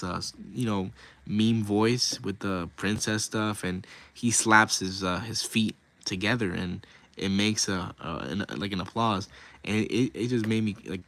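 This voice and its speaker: Raspy low-voiced man chatting on stream. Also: a bit bright. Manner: rambling, restarts, lots of fillers. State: hoarse from talking nonstop for an hour. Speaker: livestream host and wrestling video creator. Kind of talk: casual livestream chat.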